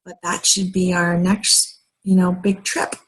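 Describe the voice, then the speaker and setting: American woman, late thirties, slow pace, slightly low husky voice, casual vlog chat, halting and rambling. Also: level tone, rough recording. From United States, moved often as a military child. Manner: rambling, halting, pausing mid-sentence. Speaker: vlogger. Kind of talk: casual personal vlog talk.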